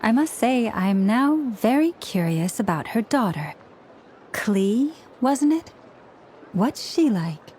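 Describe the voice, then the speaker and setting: An actress playing a composed, politely curious adult companion in a video game. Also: female voice, a little high, big pitch swings, slow, a little mellow, slight background noise.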